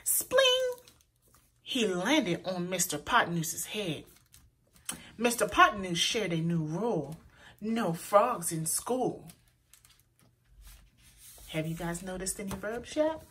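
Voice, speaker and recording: A woman reading a children's picture book aloud in an expressive, deliberate way, as a storyteller for young listeners. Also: medium pitch, husky. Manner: pauses to point out words to young listeners; animated, deliberate delivery for children.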